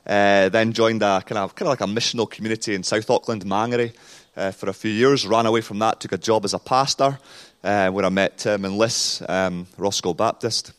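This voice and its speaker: A man's voice: lowish voice, clear, resonant tone. Scottish-born man with a Kiwi accent. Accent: New Zealand with Scottish traces. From Scotland. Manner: rambling and self-deprecating, with many fillers. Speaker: pastor and interview guest. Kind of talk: casual interview talk in a church service.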